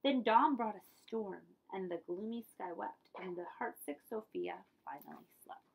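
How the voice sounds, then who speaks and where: A woman's voice: mid-range voice, moderate pace, vocal fry, slightly rough, low-quality recording. A woman reading a children's picture book aloud as a librarian, with dramatic storytelling emphasis and friendly asides.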